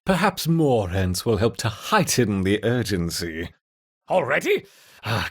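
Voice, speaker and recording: A man playing a frantic, scheming mad scientist obsessed with his ants in a video game, raspy and theatrical, muttering to himself. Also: mid-range voice, exaggerated voice. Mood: harried. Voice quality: raspy, husky. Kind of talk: video game character acting.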